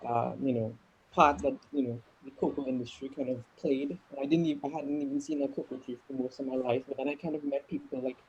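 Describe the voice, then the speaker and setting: A man from Trinidad talks casually and a little hesitantly in loose phrases. His voice is husky, and the call audio is somewhat dull and lo-fi. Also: medium pitch, fairly even intonation, fast talker. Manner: loose phrasing padded with "like" and "you know". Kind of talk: casual, hesitant self-introduction.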